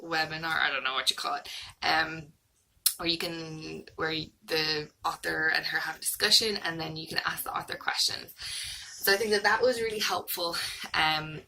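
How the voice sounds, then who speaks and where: A woman's voice: low-pitched, fairly even intonation, normal speed, gravelly, bright, forceful tone, slight background noise, poor audio. An Irish woman in her thirties giving a casual, hesitant, rambling review, like a homeschooling mom vlogging.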